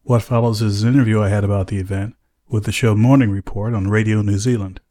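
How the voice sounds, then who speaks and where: Low-voiced American man explaining carefully. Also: fairly even intonation, fast, mellow. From United States. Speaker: aviation safety analyst and podcast host. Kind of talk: measured explanatory interview talk.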